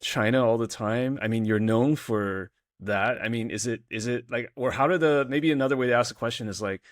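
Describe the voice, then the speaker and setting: A man's voice: mid-range voice, brisk, dry recording, studio quality. A man hosting an interview, asking loose questions and rephrasing them as he goes. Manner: rephrases questions mid-sentence, restarts. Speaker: podcast host. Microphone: remote call recording.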